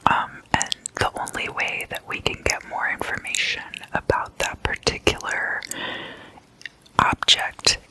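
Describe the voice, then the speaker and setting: An ASMR host speaking in a breathy, unvoiced whisper, informal and playfully chatty. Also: unhurried, poor audio. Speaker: ASMR host explaining demon folklore.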